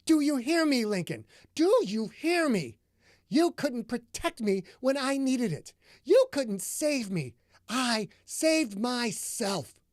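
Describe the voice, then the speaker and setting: A woman narrator voicing a volatile, bitter young woman who shouts in high, clipped bursts. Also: very animated, measured pace, no room sound, studio quality. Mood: furious.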